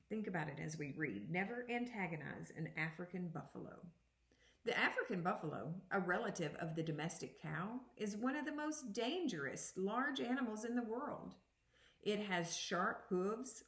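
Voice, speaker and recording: A woman reading a children's picture book aloud as a second-grade teacher, with deliberate, explanatory phrasing. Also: fairly low pitch. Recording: echoey room.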